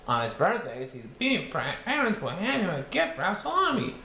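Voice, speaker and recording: A man voicing a cheerful cartoon sea sponge who reads a storybook aloud in a halting sing-song. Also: high-pitched, huge pitch swings, bright, forceful tone, exaggerated voice. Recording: echoey room with faint background noise.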